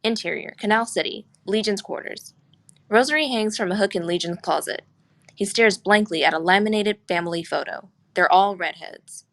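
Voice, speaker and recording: A woman's voice: medium pitch, moderate pace, fairly bright tone. A woman reading scripted stage directions and scene headings as narrator at a screenplay table read.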